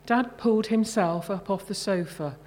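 A woman reading her own short story at a live literary event in a measured, deliberate way. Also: mid-range voice, darkish tone.

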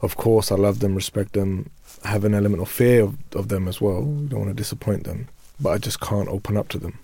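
A man's voice: on the low side, a little fast, creaky, mellow, breathy edge, no echo. A man in his thirties, co-hosting a radio discussion, whose first language is Urdu, telling candid, reflective stories from his childhood. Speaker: radio show co-host. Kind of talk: casual panel discussion.

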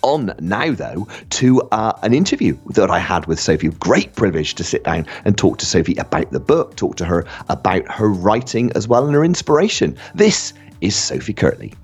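A man's voice: fairly low pitch, very animated, moderate pace, hardly any pauses, faint music behind. A man presenting a school radio show, enthusiastically reading out listeners' comments and praising them.

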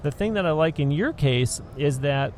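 A man's voice: fairly high pitch, animated, moderate pace, darkish tone, a little hiss or hum. A man hosting a podcast interview, talkative and explanatory in long run-on sentences, recorded on location into a handheld recorder. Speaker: podcast host. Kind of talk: interview conversation. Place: on location, indoors. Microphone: studio mic into handheld recorder.

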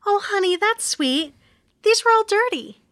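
A woman's voice: very high voice, lively intonation, a little slow, a bit bright, dry recording, exaggerated voice. A woman playing a sarcastic, long-suffering sitcom housewife in a comedic table read, with a sweetly cutting delivery. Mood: falsely sweet.

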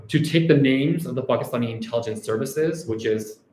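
A man's voice: medium pitch, fairly quick, a little mellow, a little hiss or hum. Reflective male academic in an echoey room. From Canada. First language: Urdu. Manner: reflective, often ends points with "right?". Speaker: academic researcher. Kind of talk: scholarly talk.

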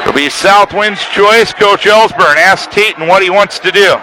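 A man doing live play-by-play of wrestling for radio, urgent and rising excitedly with the action. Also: high-pitched, moderate pace. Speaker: play-by-play announcer. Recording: noisy live sports venue, loud crowd. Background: loud crowd and venue noise.

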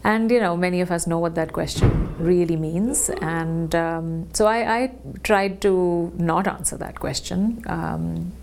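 Health worker, woman from England, low gravelly voice, reflective and hesitant. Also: measured pace, few pauses, darkish tone, a little hiss or hum. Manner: reflective, with frequent restarts and hesitations. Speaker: health service staff member. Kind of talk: personal account in an interview.

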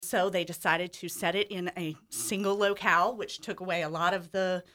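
A woman's voice: on the low side, fairly even intonation, normal speed, fairly bright tone. A woman interviewed as a stage director, explaining in a thoughtful, articulate way.

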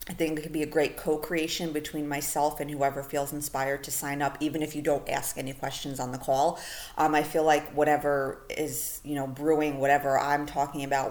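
A woman's voice: sits low, darkish tone. A woman hosting a solo podcast in a casual, chatty way, full of "you know" and "like", while she is losing her voice. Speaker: podcast host.

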